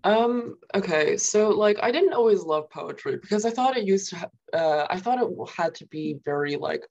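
Young woman with a creaky, rambling voice. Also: mid-range voice, animated, normal speed, a little mellow, dull audio. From New York, USA. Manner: rambling, many fillers and "like". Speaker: guest poet. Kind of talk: casual interview.

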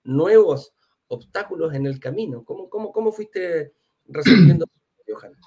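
A man's voice: a little high, lively intonation, moderate pace, warm tone, breathy edge. A man with a Chilean accent hosting a live class and interview in an informal, chatty way. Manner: chatty, informal, uses affectionate diminutives. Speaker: host of a live real estate investment class.

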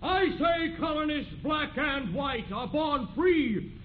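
Righteous colonial woman, high voice, declaiming, old radio drama, tinny. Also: lively intonation, slow pace, a little hiss or hum. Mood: resolute. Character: righteous, resolute colonial townswoman demanding rights.

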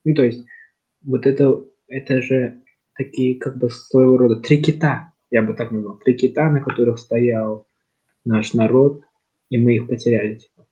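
A man's voice: medium pitch, steady tone, moderate pace, dark tone. A young man from Kazakhstan leading a casual discussion, talking in a rambling way with lots of fillers and restarts. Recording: slightly dull lo-fi sound.

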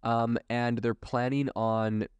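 A man's voice: mid-range voice, flat delivery, slow pace, smooth, no echo. A man hosting a podcast, giving casual, conversational tech news commentary with enthusiastic wording. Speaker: podcast host.

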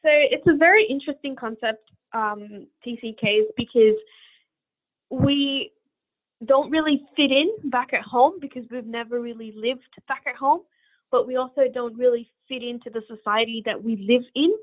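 A woman from Bangladesh talks earnestly as a guest, working through her thoughts as she goes. Her voice sits fairly high, and it comes through a narrow, phone-like line from a remote call. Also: moderate pace. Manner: earnest, reflective, thinks aloud. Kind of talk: casual interview answers.

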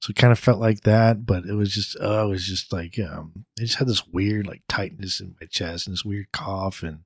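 Congested low-key man, slightly raspy. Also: steady tone, dry recording, clean studio sound. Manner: low-key, deliberate, pauses between thoughts. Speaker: podcast co-host. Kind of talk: casual chat.

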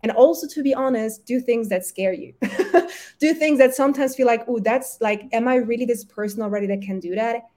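A woman's voice: a little high, a little fast, dark tone. A woman whose first languages are German and Polish, speaking as a guest health coach in a casual podcast conversation, earnest and motivational, often correcting herself mid-phrase.

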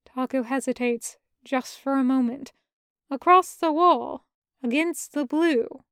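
High-voiced woman reading a story. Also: takes it slowly, a bit bright, no room sound, clean studio sound. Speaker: podfic reader narrating fan fiction. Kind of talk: expressive scripted narration.

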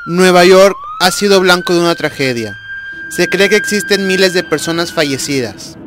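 A man's voice: sits high, wildly swooping pitch, moderate pace. A man playing an agitated news reporter at a disaster scene in a radio drama, urgent and alarmed. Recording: noisy street with clicks and sirens.

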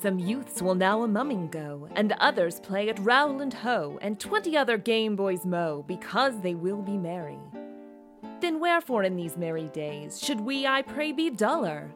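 A woman's voice: mid-range voice, sing-song, moderate pace. A woman reciting old festive verse as a performed reading. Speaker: verse reader. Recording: guitar music under the voice. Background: plucked guitar music.

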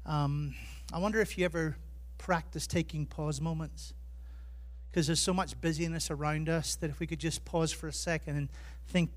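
An Irish man preaching as a pastor, chatty and self-deprecating, telling humorous personal stories in his sermon. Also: fairly high pitch. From Ireland. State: jet lagged.

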